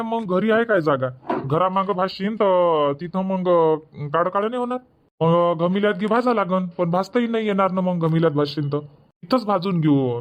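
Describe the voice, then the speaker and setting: A man's voice: sits high, highs cut off, lightly acted. A man voicing a grumbling village husband. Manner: grumbling, bossy, defensive. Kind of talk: dubbed cartoon comedy.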